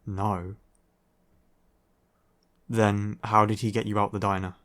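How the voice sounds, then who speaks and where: Man acting as a slow, low-voiced grumpy vampire. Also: flat delivery, a bit bright. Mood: weary, impatient. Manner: sardonic, sighing, curt. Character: grumpy young male vampire, weary and exasperated. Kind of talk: roleplay audio acting, ASMR.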